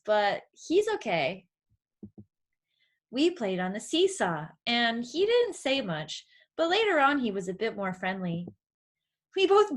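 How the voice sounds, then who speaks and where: A woman's voice: fairly high pitch, fairly bright tone. A woman voicing a cheerful, friendly young girl in a storybook read-aloud, chirpy, frank and a little cheeky.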